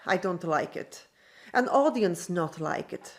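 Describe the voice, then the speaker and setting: An actress playing a confident, flirtatious circus performer in a radio drama. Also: female voice, lowish voice, expressive, unhurried. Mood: teasing, defiant.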